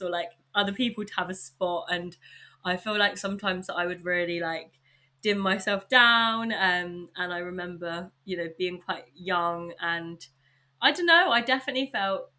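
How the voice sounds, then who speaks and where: A young woman with an English accent hosting her own podcast, chatting warmly and informally, a little husky from a sore throat. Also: lowish voice. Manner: upbeat, chatty, lots of likes and you knows. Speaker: podcast host. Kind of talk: casual solo podcast chat.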